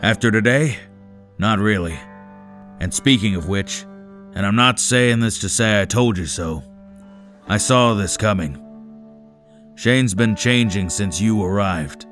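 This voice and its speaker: A man's voice: lowish voice, unhurried, pauses often, a bit bright, faint music behind. A man playing a frank, world-weary old man, the elder of a survivor camp, in a dramatic comic dub. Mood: resigned.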